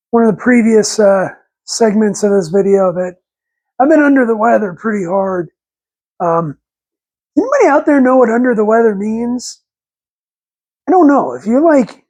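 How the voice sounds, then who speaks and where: A raspy man in his forties with a head cold, rambling folksy and correcting himself while talking through engine work in a garage on a lapel mic. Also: very high-pitched, darkish tone. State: congested with a cold. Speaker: channel host. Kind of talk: casual workshop vlog. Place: home garage workshop, indoors.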